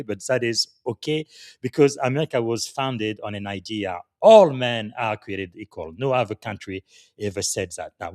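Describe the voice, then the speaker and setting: A man from Congo hosts a live podcast with a somewhat low voice, earnest and reflective. He talks in halting phrases, pausing often. The sound is dry and close. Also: no room sound. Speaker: podcast host. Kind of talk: live podcast, guided gratitude moment.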